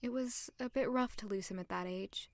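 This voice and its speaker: An actress playing a young female palace servant who is polite and deferential, speaking softly. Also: medium pitch, normal speed, no echo, studio quality, close to an everyday voice. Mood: wistful. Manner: polite, deferential and soft-spoken. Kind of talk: audio drama acting.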